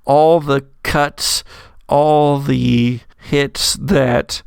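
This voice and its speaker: A man narrating a tabletop horror game as game master, with deliberate, suspenseful pauses. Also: a little high, fairly even intonation, creaky, clear, resonant tone, no room sound, clean studio sound.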